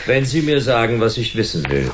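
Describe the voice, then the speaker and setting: A hard-boiled private detective, played by a man in a slightly dull-sounding radio drama. He is laconic and sardonic, a wry, deadpan noir figure who does not let go. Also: mid-range voice, brisk, noisy background, natural voice. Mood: cool, menacing.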